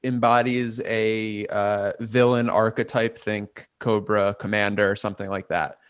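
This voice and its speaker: A man's voice: fairly low pitch, little pitch movement, measured pace, clean tone, no echo. A man, a guest in a casual interview, thinking aloud and weighing ideas from many angles. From Port Chester, New York. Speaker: guest, artist and designer.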